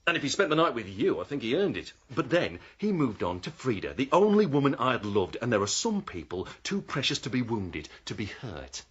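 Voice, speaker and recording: A menacing, sardonic British man, a village vet gone bad. The voice is husky and swings with taunting bitterness in radio drama style. The recording is slightly muffled. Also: mid-range voice, wildly swooping pitch, fairly quick, few pauses. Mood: bitter, menacing.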